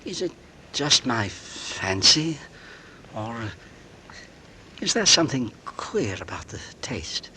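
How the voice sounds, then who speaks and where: An actor playing a married English gentleman who fears poisoning, speaking formally and deliberately in 1940s radio suspense style. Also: medium pitch, big pitch swings, full character voice. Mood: alarmed suspicion. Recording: noisy vintage radio drama recording.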